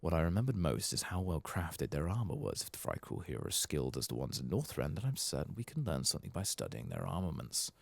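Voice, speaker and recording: A man softly voicing an earnest, polite leather armor craftswoman in a fantasy game. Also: a bass voice, huge pitch swings, fast talker, gravelly, few pauses, no room sound, full character voice. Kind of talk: soft-spoken reading of game quest dialogue.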